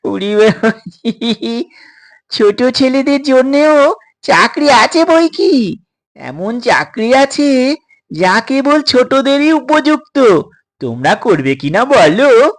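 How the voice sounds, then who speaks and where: A man voicing a sly, jovial Chinese stranger in an audio story, with a drawn-out, wheedling delivery. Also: very high-pitched, very animated, voice pushed up, exaggerated voice. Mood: wheedling, gleeful.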